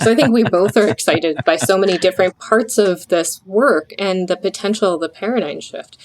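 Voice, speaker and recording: A woman's voice: fairly low pitch, rough recording. A woman speaking as an expert interview guest, earnest and explanatory, using emphatic repetition. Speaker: physician researcher, guest expert.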